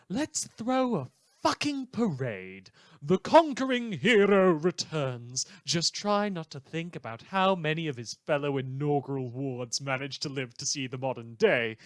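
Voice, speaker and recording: Male actor as sardonic superhero-world newscaster, slightly high voice, theatrical, very dry close recording. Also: dry recording. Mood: bitterly sarcastic. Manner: sarcastic, theatrical, dry asides. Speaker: radio news host. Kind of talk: scripted comedic news broadcast.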